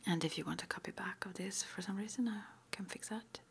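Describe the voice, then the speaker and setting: A woman doing an ASMR roleplay in a breathy, unvoiced whisper, with a gentle, unhurried manner. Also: mid-range voice, slight background noise. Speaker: ASMR roleplay performer playing a witch school interviewer.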